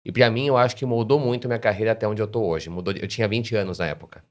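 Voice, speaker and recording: Podcast interviewer: Brazilian man, lowish voice, casual and chatty, often checks for agreement. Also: quick pace. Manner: chatty, shares own experience, seeks agreement with tag questions.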